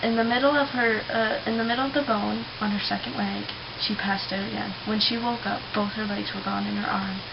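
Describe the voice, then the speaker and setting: A young woman chatting and telling stories casually as a vlogger, with an informal, halting, giggly manner. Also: mid-range voice, steady tone, creaky. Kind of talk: casual chat and rambling storytelling. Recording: muffled webcam-style audio with loud hiss.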